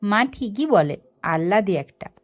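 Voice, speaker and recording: A woman storyteller narrating a first-person story in an expressive, dramatic way. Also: medium pitch, measured pace, soft background music.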